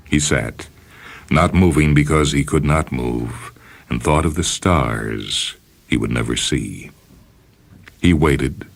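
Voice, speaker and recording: A man reading a science fiction story as narrator, in a solemn, measured dramatic style. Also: a bass voice. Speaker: narrator, short story reader. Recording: music under the voice. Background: music.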